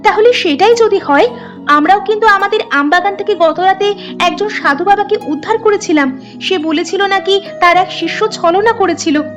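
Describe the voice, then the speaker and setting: Woman voicing a very high-pitched village girl. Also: normal speed, fairly bright tone. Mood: earnest. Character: young village sister, poor, hardworking and plain-spoken. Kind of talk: cartoon story dialogue. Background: music.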